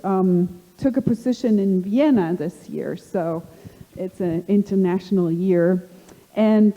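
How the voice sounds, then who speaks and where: A middle-aged woman with a slight German accent hosting a live panel, halting and full of fillers. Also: mid-range voice, mellow. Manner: halting, many fillers and restarts. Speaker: panel host. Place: conference hall. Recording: long hall reverb.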